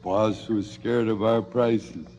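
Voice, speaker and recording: An actor playing a drunken, sardonic crooked cop in a theatrical radio drama, with a halting drawl. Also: male voice, lowish voice, steady tone, darkish tone. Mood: sardonic, ominous. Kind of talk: 1970s radio drama acting.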